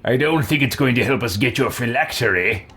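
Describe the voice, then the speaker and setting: A man's voice: mid-range voice, lively intonation, brisk, fairly bright tone. A man voicing a dry, impatient, bossy mage in a fantasy roleplay, sardonic and curt.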